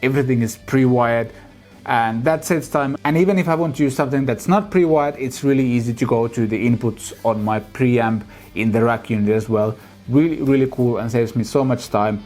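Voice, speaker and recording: Mellow-voiced man in his thirties. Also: medium pitch, normal speed, faint music behind. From Finland. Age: 30s. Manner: relaxed, chatty, appreciative. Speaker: music channel host. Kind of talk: casual vlog answering viewer comments.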